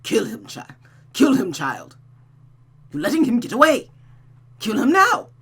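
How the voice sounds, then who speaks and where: A woman's voice: fairly high pitch, wildly swooping pitch, unhurried, fairly bright tone, slightly rough, voice pushed up, heavily acted. A woman voicing a cruel, taunting mother who gives cold, domineering orders in a visual novel. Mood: urgent command. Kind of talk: visual novel character reading.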